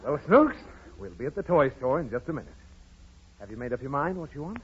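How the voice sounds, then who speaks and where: A man's voice: mid-range voice, big pitch swings, fast, slightly rough. An American man playing an exasperated, blustering father who scolds and protests in an old radio comedy. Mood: firm, impatient. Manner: scolding, protesting, easily flustered. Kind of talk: 1930s radio comedy acting. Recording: muffled, hissy old 1930s radio recording.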